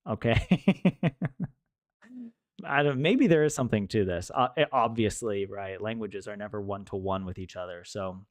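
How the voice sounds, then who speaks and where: A man's voice: mid-range voice, no room sound, clean studio sound. A young man chatting casually with viewers as he studies, joking and laughing with lots of rambling restarts. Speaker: language learner streaming to viewers. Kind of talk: casual chat with viewers while studying.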